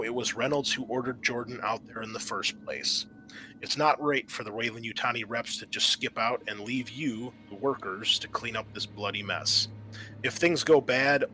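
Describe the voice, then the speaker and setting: A man working as game master, narrating a tabletop roleplaying scenario with measured, storyteller-like scene-setting. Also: mid-range voice, bright, forceful tone, a little hiss or hum, low-quality recording.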